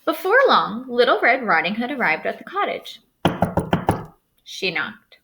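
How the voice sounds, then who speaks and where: A woman teacher reading a storybook aloud to students in an expressive, instructive way. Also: fairly high pitch, measured pace.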